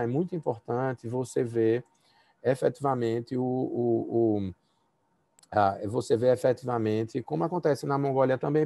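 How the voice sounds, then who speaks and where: Gravelly male law professor lecturing. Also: medium pitch, a little mellow, no room sound. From Brazil. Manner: conversational, many fillers and restarts. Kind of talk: university lecture giving feedback.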